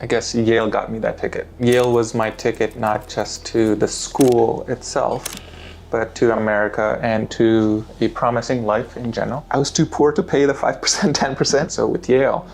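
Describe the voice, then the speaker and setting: Gravelly-voiced man from Afghanistan. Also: medium pitch, steady tone, moderate pace, darkish tone, a little hiss or hum. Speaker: interviewee. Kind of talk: interview answers.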